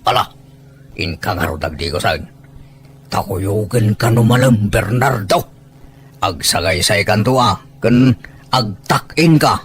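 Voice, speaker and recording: A man's voice: on the low side, lively intonation, measured pace, pauses often. An actor playing a teasing, bossy older village man who coaxes like a matchmaker in a rural audio drama. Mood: coaxing.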